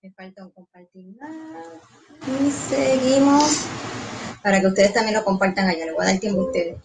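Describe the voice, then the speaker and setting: A woman's voice: medium pitch, normal speed, low-quality recording. A woman in her thirties with a Puerto Rican accent hosting a live stream, chatting and greeting viewers in a small room. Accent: Puerto Rican Spanish. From Puerto Rico. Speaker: host. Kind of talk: live stream host chat. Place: small indoor studio room.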